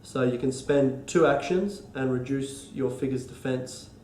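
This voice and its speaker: An Australian man with a cold and a stuffy nose, explaining board game rules in a halting, casual way full of ums and restarts. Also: medium pitch, warm tone, roomy sound, slight background noise. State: congested from a cold. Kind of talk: casual tabletop rules walkthrough.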